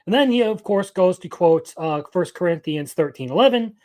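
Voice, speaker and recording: A man's voice: high voice, animated, normal speed, darkish tone. A man in his twenties giving casual live-stream commentary as an anime news host, opinionated and rambling, answering his chat as he goes. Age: 20s.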